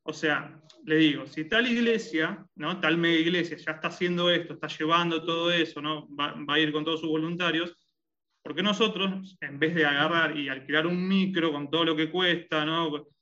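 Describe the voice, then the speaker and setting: Rioplatense male guest, bright, slightly high voice, halting, lots of fillers, dull lo-fi sound. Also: fairly even intonation. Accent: Rioplatense Spanish. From Argentina and Uruguay. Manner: hesitant, many fillers and restarts. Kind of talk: conversational interview.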